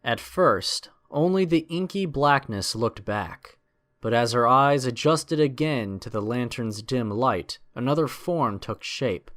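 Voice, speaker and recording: A man's voice: mid-range voice, animated, a little slow, fairly bright tone. A man narrating a scripted adventure story in a dramatic storytelling style.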